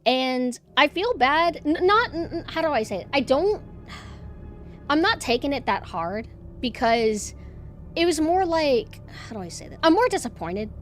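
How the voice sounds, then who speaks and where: A woman streamer with a monotone voice, chatting casually and rambling with lots of fillers and restarts. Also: high-pitched, measured pace, soft background music. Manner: rambling, self-deprecating, many fillers and restarts. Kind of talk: casual chat.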